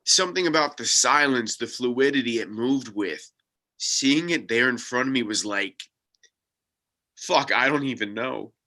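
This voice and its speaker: A man's voice: medium pitch, animated, measured pace, pushed and bright. A man acting an upbeat, earnest UFO-hunting sidekick who consoles and encourages, in a comedy table read. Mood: awed.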